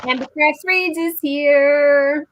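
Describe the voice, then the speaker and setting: A woman co-hosting a live crochet show over a video call, chatting in an unhurried, giggly way and greeting viewers. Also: high voice, steady tone, fairly bright tone. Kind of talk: casual chat on a livestream.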